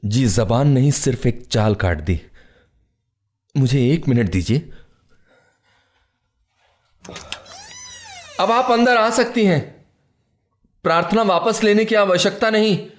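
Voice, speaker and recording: A man's voice: high voice, animated, unhurried, long pauses. An actor playing a proud, stubborn, reclusive male writer in a radio play, speaking in formal, literary, declamatory lines. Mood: guarded, courteous. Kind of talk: Hindi radio play acting.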